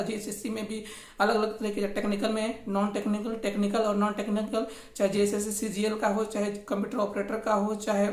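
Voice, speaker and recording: Woman news commentator, flat delivery, echoey room. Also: mid-range voice. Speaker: news channel host. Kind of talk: informal explanatory news commentary. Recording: echoey, roomy sound.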